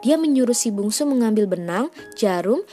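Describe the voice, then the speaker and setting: A woman's voice: fairly high pitch, expressive, normal speed, mellow, faint music behind. A woman storyteller narrating a children's fairy tale.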